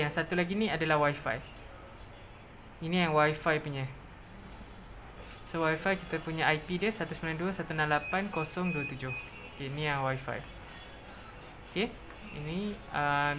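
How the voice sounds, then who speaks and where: A presenter with a Malaysian accent narrating a step-by-step software tutorial, mixing in English technical terms. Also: mid-range voice, background noise.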